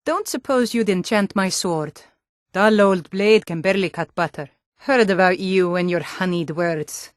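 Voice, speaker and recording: A woman playing a tough, suspicious female Nord city guard in a fantasy video game, with a blunt, gruff, challenging and wry manner. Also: on the low side, a little slow, no room sound, studio quality, close to an everyday voice. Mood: teasing, gruff.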